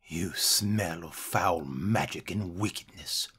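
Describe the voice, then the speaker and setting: A man playing a young, self-important but easily flustered male elf guard with a husky voice in an audio roleplay. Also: low-pitched, slow, a bit bright, exaggerated voice. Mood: haughty.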